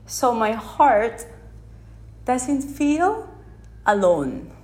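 A woman from the Philippines whose first language is Tagalog, talking unscripted into a phone held in one hand on her podcast, gently and with many restarts as she searches for words. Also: a little high, very animated, extremely slow. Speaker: podcast host. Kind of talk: unscripted reflective podcast monologue. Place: unedited setting with background sound.

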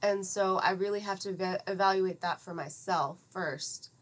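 A woman in her thirties from the United States, talking reflectively and in measured explanations as a guest in a conversational interview. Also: mid-range voice. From United States (Atlanta, Georgia). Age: 30s. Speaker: podcast guest, psychiatric pharmacist.

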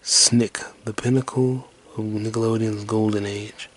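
A man in his twenties rambling alone on his podcast in a detached, low-energy, half-whispered way. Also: level tone, measured pace. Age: 20s. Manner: low-key, detached, mumbling. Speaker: podcast host. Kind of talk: rambling solo podcast monologue.

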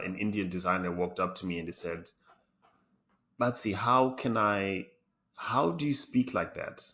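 A man's voice: low-pitched, bright, forceful tone, dull audio. A man talking reflectively in a podcast interview, with many fillers and restarts. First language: English. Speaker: design lead guest.

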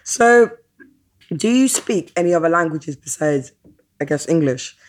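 Casual podcast host: woman, very low-pitched voice, informal and slangy in a chatty interview. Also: expressive, measured pace.

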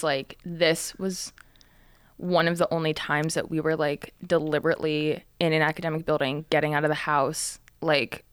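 A young woman in her twenties with a lowish, slightly raspy voice, chatting loosely as a podcast co-host. She rambles and restarts with plenty of fillers. The sound is dry and close, from a small studio. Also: no echo. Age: 20s. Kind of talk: casual chat.

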